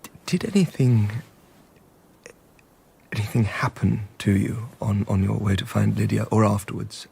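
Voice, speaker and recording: Troubled husband, period radio drama: rough, husky, fry-edged, halting and repressed. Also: male voice, fairly low pitch, fairly even intonation, mellow, heavily acted. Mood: awkward, strained. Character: troubled, stiff English husband, halting and bitter after a catastrophe.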